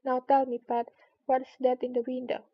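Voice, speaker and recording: Woman as an angry male rabbit, storybook character, scolding, bossy, higher-pitched, muffled recording. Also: monotone, normal speed. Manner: indignant, commanding, scolding. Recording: dull lo-fi recording.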